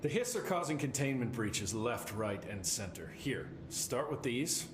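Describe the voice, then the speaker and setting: A man's voice: medium pitch, very animated, unhurried. A man playing a wry, exasperated government containment supervisor in a video game. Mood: matter-of-fact, wry. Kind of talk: video game dialogue acting. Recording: roomy, clearly noisy game audio in stream.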